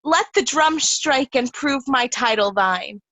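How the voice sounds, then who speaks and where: A woman playing a haughty, ailing princess in Shakespearean reader's theatre, with a deliberate, imperious delivery. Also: high-pitched, animated, sharp tone, heavily acted, low-quality recording.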